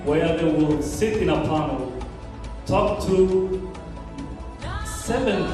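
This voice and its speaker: A man with a high voice speaks fervently to a crowd. He sounds far from the mic in a very echoey hall, with clear music in the background. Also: slow. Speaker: speaker at an award night. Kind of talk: speech to an audience.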